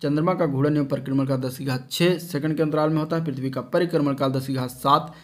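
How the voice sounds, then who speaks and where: A man gives an exam coaching lesson in a slightly high-pitched voice. He reads from study notes with short explanatory asides, halting and stumbling now and then over technical terms. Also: fairly even intonation.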